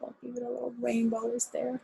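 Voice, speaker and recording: A woman's voice: on the high side, monotone, quick pace, vocal fry, dark tone, slight background noise. An older woman, a grandmother, reading a picture book aloud and plainly explaining things to young listeners. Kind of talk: storybook read-aloud with simple explanatory asides.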